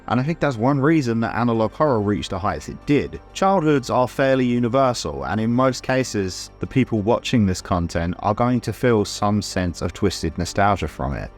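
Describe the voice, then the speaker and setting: A man with a fairly low voice narrates a scripted video essay over music. He explains things conversationally, as if talking the viewer through an argument.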